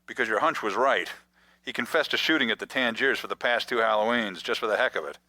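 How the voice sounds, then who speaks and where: A steady, no-nonsense cop in a radio mystery. The voice is lowish and hard-edged, recorded close and dry. He talks plainly, like someone giving a report. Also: quick pace, no echo. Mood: matter-of-fact. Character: matter-of-fact male police detective, firm and steady. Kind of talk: radio detective drama acting.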